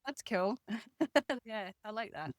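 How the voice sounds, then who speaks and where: A woman's voice: a little high, animated, takes it slowly, no echo. Encouraging woman hosting, dry close sound. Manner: encouraging, affirming. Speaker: podcast host. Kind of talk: casual podcast interviewing.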